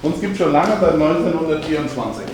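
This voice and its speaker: A man's voice: on the high side, animated, brisk, background noise. A middle-aged man from Hesse explaining things in a matter-of-fact, informal way as a show-home sales adviser. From Hesse, Germany. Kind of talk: explanatory interview answers. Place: show house.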